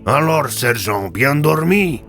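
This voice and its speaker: A man's voice: mid-range voice, lively intonation, slow pace, creaky, fairly bright tone, soft background music, voice pushed up, full character voice. A man voicing a smug, mocking Russian crime boss in an audio drama, falsely polite and taunting.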